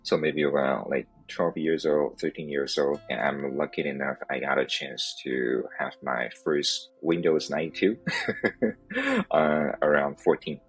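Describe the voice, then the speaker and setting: A man's voice: rumbling low, expressive, measured pace, slightly rough, soft background music. A man in his thirties, raised in South Africa and Taiwan, telling his founder story in a rambling interview and laughing often. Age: 30s. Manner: rambling, laughs often, non-native phrasing. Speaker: startup founder guest. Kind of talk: casual podcast interview. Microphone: remote call from home.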